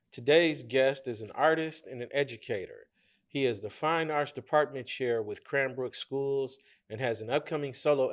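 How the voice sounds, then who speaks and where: A man hosting a podcast, reading a scripted introduction and then asking relaxed interview questions. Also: mid-range voice. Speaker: podcast host.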